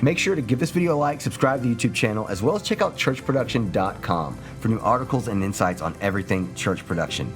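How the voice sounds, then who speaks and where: Lively-pitched, low-voiced male video host. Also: fast, few pauses, soft background music. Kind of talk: scripted review presenting.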